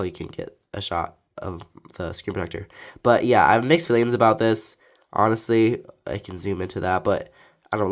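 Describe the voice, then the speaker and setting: A young man with a raspy voice from allergies, reviewing a phone casually with lots of fillers. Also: fairly low pitch. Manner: rambling, filler-heavy, laid-back. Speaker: tech reviewer.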